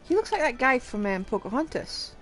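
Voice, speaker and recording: A woman's voice: sits high, sing-song, fairly quick, a bit bright, soft background music. A woman streaming a game with casual, musing, somewhat hesitant commentary. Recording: clear background noise and game sound.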